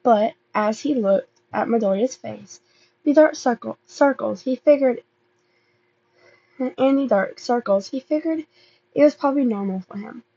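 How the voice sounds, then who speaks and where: Halting, slow-paced woman reading aloud. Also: on the high side, warm tone, muffled recording. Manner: halting reading, often stumbling and repeating words. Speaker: amateur podfic reader. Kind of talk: reading fan fiction aloud, with casual asides.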